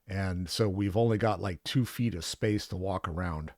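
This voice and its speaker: A man with a low voice chats loosely while gaming, trailing off and restarting his sentences as he goes. The recording is dry and close, with no room sound. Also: normal speed, a little mellow, no room sound. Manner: rambling, many fillers and restarts. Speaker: co-host playing an online game. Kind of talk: casual gaming chat.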